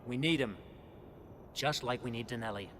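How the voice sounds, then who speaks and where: An actor playing a pragmatic agent in a video game, firm and insistent. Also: male voice, medium pitch, moderate pace, background noise, natural voice. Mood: urgent, insistent. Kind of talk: video game cutscene acting.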